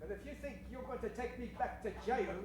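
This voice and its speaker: A man's voice: very high-pitched, fairly quick. A man playing a defiant, melodramatic western outlaw in an improvised radio comedy. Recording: very echoey stage, keyboard music behind.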